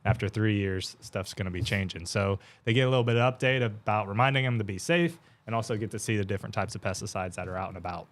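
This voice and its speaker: Steady low-voiced male educator. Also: fast, hardly any pauses. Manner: self-described monotone, steady explaining. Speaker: extension educator guest. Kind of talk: explaining on a TV show. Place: TV studio.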